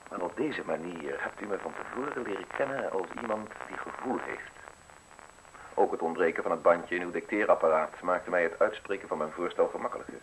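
An actor playing a suave, menacing justice official in a radio drama, speaking in measured, formal, insinuating phrases. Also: male voice, low voice, fairly bright tone, a little hiss or hum, poor audio. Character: suave, menacing male state justice official offering a deal.

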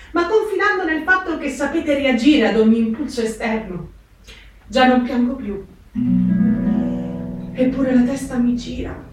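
A woman playing an anguished but steadfast young diarist in a dramatic theatre reading, with emphatic phrasing. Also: on the high side. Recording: very echoey stage, distant microphone.

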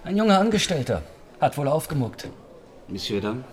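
An actor playing a deferential, gossipy bistro waiter in a radio crime drama, polite and confiding. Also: male voice, medium pitch, very animated, moderate pace, natural voice.

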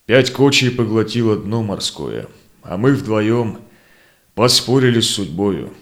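A man acting a seasoned, honorable Cossack explorer in a verse audio drama, with solemn, declamatory, measured delivery. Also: fairly low pitch, expressive, fairly bright tone. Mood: solemn, proud. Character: seasoned Cossack ataman explorer, honorable, sorrowful, longing for home.

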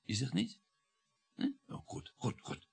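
An actor playing a sardonic, irritable middle-aged surgeon and husband in a radio play, with a needling manner. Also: male voice, takes it slowly, darkish tone, faint music behind, lightly acted. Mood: sardonic, reminiscing.

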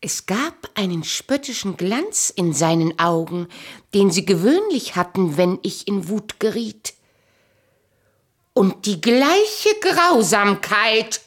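An actress playing a proud, passionate, sharp-tongued noblewoman in a radio play, with imperious delivery and dramatic pauses. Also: medium pitch, very animated, slightly rough, full character voice. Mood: bitter, excited. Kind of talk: theatrical German radio play dialogue.

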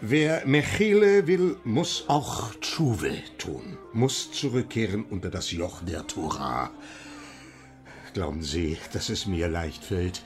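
A man's voice: sits low, big pitch swings, slow pace, music in the background, full character voice. An actor playing a stern, pious, middle-aged father who speaks emphatically in a radio drama. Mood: stern anguish. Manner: emphatic, rhetorical, unyielding. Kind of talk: German radio drama acting.

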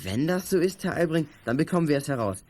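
Schoolboy detective in a radio play, lightish pitch, animated delivery, earnest and keen. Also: male voice, quick pace. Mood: eager, confident. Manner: eager, polite, confident. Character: eager, earnest schoolboy amateur detective, teenage male. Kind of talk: 1980s children's audio drama acting.

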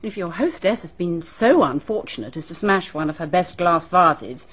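Nervy, prim schoolteacher; husky, lively pitch, clipped and guarded; muffled old radio sound. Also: female voice, normal speed. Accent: British English. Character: prim, nervous woman schoolteacher witness. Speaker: teacher questioned by a detective. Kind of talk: BBC radio drama acting. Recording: dull, muffled archive radio broadcast.

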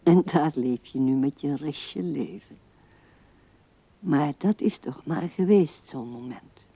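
A pensive old widow in a 1970s radio play. Her voice is low and unhurried, murmuring and often sinking into a whisper, on a dull vintage recording. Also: husky, dry recording, full character voice. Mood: wistful musing. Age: older. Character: pensive seventy-year-old widow musing at her husband's grave. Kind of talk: 1970s radio drama monologue.

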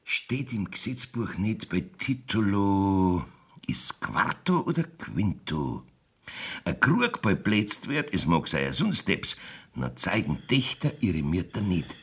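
Wily Bavarian village judge, swooping pitch. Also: male voice, wildly swooping pitch, slow, a bit bright, heavily acted. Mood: blustering, dismissive. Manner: blustering, rambling, wheedling. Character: wily, evasive old village judge bending the law. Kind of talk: 1970s dialect radio play acting.

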